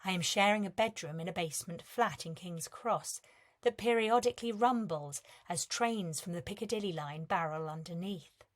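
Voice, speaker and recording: A woman with a slightly low voice reads prose in a measured, reflective way. She lets the ends of her lines soften and fall away. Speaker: reader of a competition entry. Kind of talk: calm literary reading.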